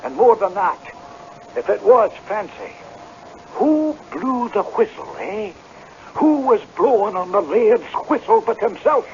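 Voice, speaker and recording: An actor playing an earnest, easily flustered young Scottish tenant in a radio drama, with a stammering, agitated way of telling things. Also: male voice, high-pitched, big pitch swings, a little slow, a little mellow, full character voice. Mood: defensive, agitated. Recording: dull, noisy old radio recording.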